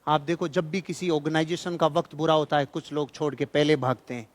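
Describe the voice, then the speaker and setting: A man's voice: fairly high pitch, fairly even intonation, fairly quick. A man giving a motivational speech in an emphatic, admonishing manner, repeating phrases for effect. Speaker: motivational speaker. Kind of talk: motivational speech, preaching to an audience.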